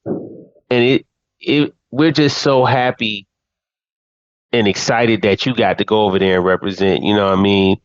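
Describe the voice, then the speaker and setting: A man's voice: fairly low pitch, steady tone, unhurried, long pauses, dry recording, muffled recording. A man hosting a podcast in a laid-back way, often saying "man". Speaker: podcast host. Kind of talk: casual interview chat.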